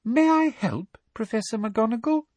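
Guy putting on a high sugary simpering woman, smug and fake polite, storybook villain. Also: takes it slowly, a little mellow, no room sound, full character voice. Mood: falsely sweet. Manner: simpering, falsely polite, throat-clearing. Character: sickly sweet, poisonous, smug female official.

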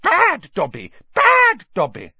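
Tiny shrill house elf, super high, servile n earnest, gets worked up easily, storybook voice. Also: huge pitch swings, takes it slowly, gravelly, no echo, muffled recording, heavily acted. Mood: frantic self-scolding. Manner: wailing, deferential, speaks of himself in third person. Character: small earnest servile house elf, emotional, self-punishing.

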